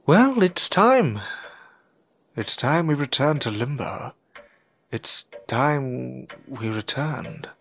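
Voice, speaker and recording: Anxious adventurer played by a man, lively pitch. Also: takes it slowly, vocal fry, heavily acted. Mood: anxious, resolute. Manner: hesitant, anxious. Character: uneasy, earnest male occult adventurer. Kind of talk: audio drama acting. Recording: faint background noise and ambience.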